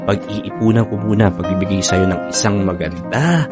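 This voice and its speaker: An actor playing a young Filipino boyfriend in a radio drama, speaking earnestly and expressively. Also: male voice, lowish voice, moderate pace, lightly acted. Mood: tender, earnest. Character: devoted young boyfriend Ivan, playful, later irritable. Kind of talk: Tagalog radio drama acting with narration. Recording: music bed behind the voice.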